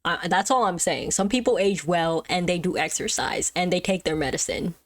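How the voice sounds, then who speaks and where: A woman's voice: lowish voice, moderate pace. A woman livestreamer chatting casually as she reacts to a video, musing aloud with exclamations and questions. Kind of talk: casual live reaction chat.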